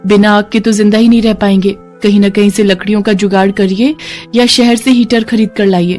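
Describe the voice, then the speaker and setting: A woman playing an anxious, insistent village wife and mother, urging and reproachful, in an animated moral story. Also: mid-range voice, a little fast, soft background music, natural voice. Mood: worried, urging.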